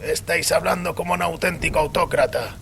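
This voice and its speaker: A man's voice: a little high, a little fast, vocal fry, a bit bright, slight background noise, voice pushed up. A man voicing an anguished, defiant priest in dramatized audio fiction, accusing and impassioned in formal phrasing.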